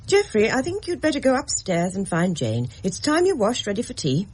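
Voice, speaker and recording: A woman's voice: mid-range voice, wildly swooping pitch, brisk, slightly rough. A woman voicing an anxious, strained young mother in a radio drama, talking tensely and emotionally. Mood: impatient. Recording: old radio play with faint hiss.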